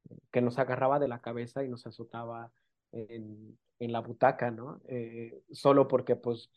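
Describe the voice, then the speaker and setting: A man from the coast of Oaxaca whose first language is Amuzgo speaks as a guest in a relaxed interview. He talks haltingly, with frequent fillers and little tag questions, at an even middle pitch. The sound is dull and lo-fi. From Mexico, Oaxaca coast. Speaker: guest, documentary filmmaker. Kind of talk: casual interview, personal storytelling.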